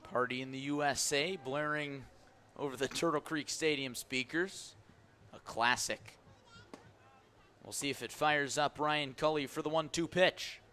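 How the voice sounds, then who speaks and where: A man's voice: fairly high pitch, fairly even intonation, a little slow, bright, forceful tone. A man calling baseball play-by-play live on the radio in short, clipped, vivid phrases. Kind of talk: live radio sports commentary. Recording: live ballpark broadcast with background noise.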